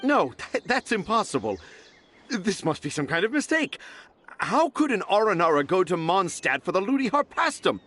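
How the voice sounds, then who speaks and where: A dramatic storyteller dad. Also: male voice, high voice, normal speed, heavily acted. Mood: disbelieving. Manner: theatrical, excitable, insistent. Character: fanciful middle-aged storyteller father, stubborn dreamer. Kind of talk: video game voice acting.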